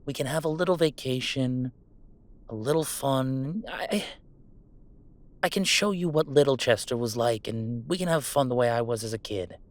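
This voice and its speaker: A man's voice: medium pitch, normal speed, lightly acted. A man playing a goofy young werewolf streamer in an intimate roleplay audio drama, talking in casual, mock-grandiose banter with small hesitations. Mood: tender, earnest. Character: goofy young male werewolf streamer, playful, defiant about family. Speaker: game streamer.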